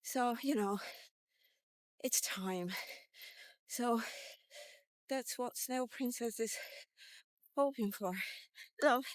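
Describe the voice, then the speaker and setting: A woman in her fifties telling a personal story in a casual, rambling vlog style, halting and informal as she thinks aloud. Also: a little high, no echo. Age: 50s. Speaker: vlogger. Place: walk-and-talk recording.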